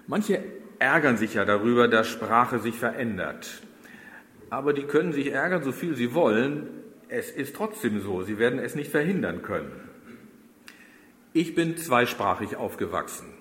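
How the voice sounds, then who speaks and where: A man's voice: medium pitch, a bit bright. A middle-aged German man preaching a sermon to his congregation, speaking conversationally and turning to them with questions. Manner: conversational, addresses the congregation directly. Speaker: pastor. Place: church. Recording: roomy church with long reverb.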